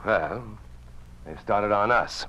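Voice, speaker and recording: An actor playing a principled, sardonic drama critic in a 1950s radio play, with a dry, pointed, cynical delivery. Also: male voice, on the low side, slow pace, pushed and bright, lo-fi sound. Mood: bitter, pointed.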